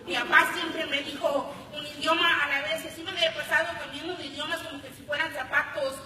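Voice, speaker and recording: An American woman performing spoken word poetry live with expressive, emphatic delivery into a stage microphone. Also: high-pitched, very fast. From Los Angeles, United States. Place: large hall at a conference ceremony. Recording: echoey hall, distant, faint noise.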